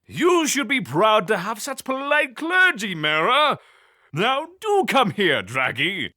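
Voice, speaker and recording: A man's voice: high-pitched, huge pitch swings, slow, pushed and bright, full character voice. A man playing a flamboyant, haughty god of fire and war in a fantasy audio drama, theatrical and condescending. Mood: grandly pleased.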